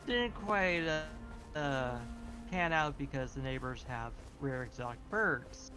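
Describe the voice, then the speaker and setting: A man's voice: medium pitch, lively intonation, slow pace. A man chatting on a game stream, matter-of-fact in tone and fond of dry puns. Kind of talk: casual chat during a game stream. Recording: game music behind voice. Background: game music.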